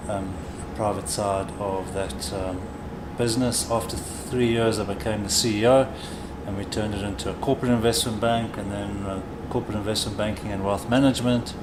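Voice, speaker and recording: A middle-aged South African man answers interview questions in a low voice. He explains things in a measured, conversational way. There is a clear steady hum of room noise behind him. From South Africa, grew up in Durban. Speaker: interview guest, corporate chief executive.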